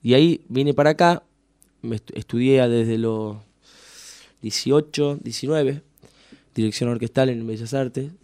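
A man's voice: medium pitch, unhurried, long pauses, dark tone, clear, resonant tone, no echo, studio quality. Rambling Argentine man from Tucumán. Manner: rambling, full of fillers and restarts. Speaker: musician guest. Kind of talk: casual radio interview.

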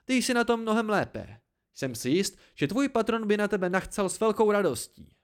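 A man's voice: medium pitch, close to an everyday voice. A man voicing a hot-tempered, hurt and sarcastic young man in an audiobook story, barking cutting retorts. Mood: biting sarcasm.

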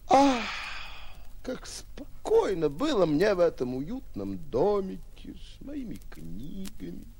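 An actor playing a pompous, excitable braggart hero in a theatrical, declamatory 1940s radio style, full of exclamations and sighs. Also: male voice, high-pitched, takes it slowly, husky, heavily acted. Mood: fretful, wistful. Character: pompous, excitable male would-be hero, comically torn braggart. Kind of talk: 1940s radio play acting. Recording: noisy 1940s radio recording.

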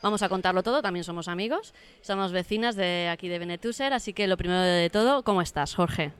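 A woman's voice: fairly low pitch, fast talker. A woman with a Valencian Spanish accent, interviewing conversationally as a radio co-host. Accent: Valencia region, Spain.

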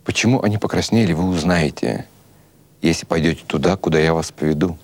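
A man giving an expressive, measured audiobook narration of a literary story. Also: low-pitched. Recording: music behind the voice.

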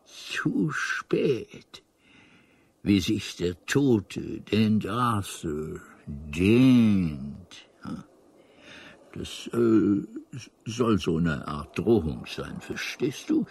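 An actor playing an elderly, absent-minded alien in a radio play, hesitant, rambling and formal, apologetic yet mildly ominous, with a husky voice. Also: male voice, low voice, very animated, extremely slow, darkish tone, heavily acted. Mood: urgent, ominous.

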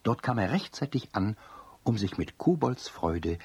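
A deep male storyteller with a very husky voice narrates a children's tale. His reading is animated and scripted, in a close, dry sound. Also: unhurried, no room sound, heavily acted. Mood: lively storytelling.

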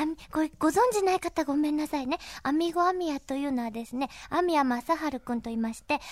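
A woman's voice: high-pitched, dry recording, clean studio sound. A woman hosting a chatty interview, speaking politely with lots of reactive interjections. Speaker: radio show host interviewing a guest. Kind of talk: chatty interview for an anime fan CD.

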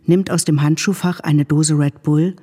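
An actress playing a detached, matter-of-fact narrator who reads screenplay-like directions in short phrases. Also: female voice, deep voice, fairly even intonation, moderate pace, dark tone, husky.

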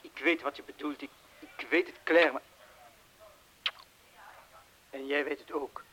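An actor with a Flemish accent playing an anxious, protective man in a radio drama, speaking haltingly and urgently. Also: a little high, steady tone, bright, forceful tone, husky, heavily acted. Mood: urgent, tender. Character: anxious, protective man urging his lover to leave. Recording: hissy old radio play recording.

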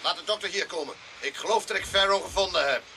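A man acting in a radio drama as a hesitant, evasive police inspector who speaks politely and haltingly. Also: sits high, sharp tone, slight background noise, exaggerated voice. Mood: urgent.

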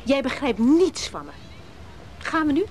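Flamboyant coaxing lady, radio drama, high voice, a bit of background noise. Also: big pitch swings, unhurried, darkish tone. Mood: insistent. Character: flamboyant, insistent married woman, teasing and pushy. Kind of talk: naturalistic radio drama acting.

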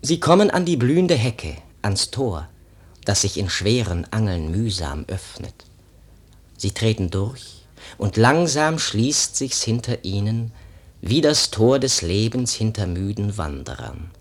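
An actor playing a solemn, poetic prologue speaker in a radio drama, declaiming in a measured, theatrical way. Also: male voice, on the low side, sing-song, breathy edge, exaggerated voice. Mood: hushed, storytelling. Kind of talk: recited verse prologue in German radio drama.

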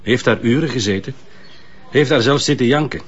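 A level-headed Flemish detective in a crime drama, played by a man. His voice is rough and husky at a middling pitch. The audio is slightly dull. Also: brisk, close to an everyday voice. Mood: thoughtful. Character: level-headed male police colleague, reasoning calmly.

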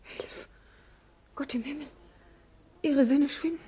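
An actress playing a tender, anguished young noblewoman in a German verse radio drama, breathy and often whispering. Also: high voice, steady tone, takes it slowly, warm tone. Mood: alarmed, whispering.

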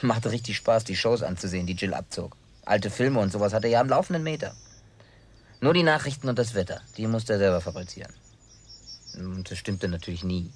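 A man's voice: on the low side, animated, fairly quick, husky. An actor playing a stubborn, simple-hearted survivor in a radio play, telling his story in a colloquial, grumbling way with wry humor. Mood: wry, nostalgic. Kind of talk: German radio play dialogue.

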